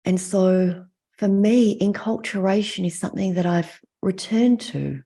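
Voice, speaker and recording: Husky middle-aged Australian woman, reflective. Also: mid-range voice, mellow. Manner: measured, deliberate, pausing between phrases. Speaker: mental health clinician giving a talk. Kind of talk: reflective prepared talk with personal stories.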